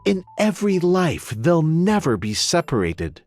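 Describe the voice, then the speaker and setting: A high-voiced, eager male vendor in a video game. His delivery is lively and swooping, polite and salesy like a storyteller pitching to tourists, with music behind him. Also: unhurried, no room sound, exaggerated voice. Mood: eager, wistful. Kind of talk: video game cutscene acting.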